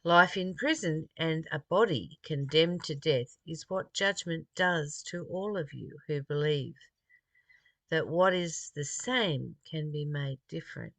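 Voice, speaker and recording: Slow-paced reader from Australia in a dry space. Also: medium pitch, a bit bright, no room sound. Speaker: host reading and commenting on a spiritual text. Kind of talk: reading aloud with personal reflections.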